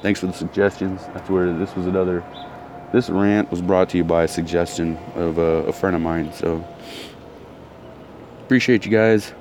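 A man's voice: deep voice, steady tone, moderate pace, background noise. A man ranting in a casual monologue, blunt and heated, repeating himself, his throat still recovering from soreness. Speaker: vlogger.

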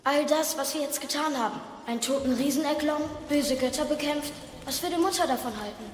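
A woman's voice: high voice, a little fast, background noise, close to an everyday voice. A woman playing an eager, defiant young boy, a warrior's son, with youthful, insistent delivery in a game audio drama. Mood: eager, questioning.

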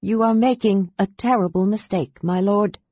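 A woman's voice: medium pitch, slow pace, darkish tone, no echo, dull audio. A woman voicing a fierce, defiant warrior woman on trial in a fantasy audiobook. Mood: controlled defiance. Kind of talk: audiobook character voice.

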